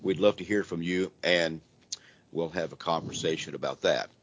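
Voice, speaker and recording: A hoarse man with laryngitis hosts a live call-in radio show, talking in a casual, rambling way with frequent restarts. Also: sits low, normal speed. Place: church. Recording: dull lo-fi radio audio with faint noise.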